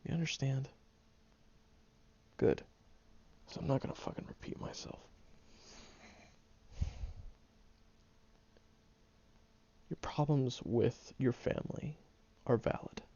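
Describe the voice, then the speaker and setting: A man voicing a gruff, sarcastic young man who secretly cares, blunt and profane but gentle underneath, groggy from just waking up, in an audio roleplay. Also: medium pitch, moderate pace, long pauses, dull audio. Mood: firm. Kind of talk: audio roleplay monologue to the listener.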